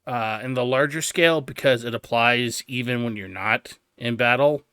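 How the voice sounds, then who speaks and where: Bright-voiced man giving game tutorial. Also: mid-range voice, normal speed, clear, resonant tone, no echo, clean studio sound. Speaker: gaming video host.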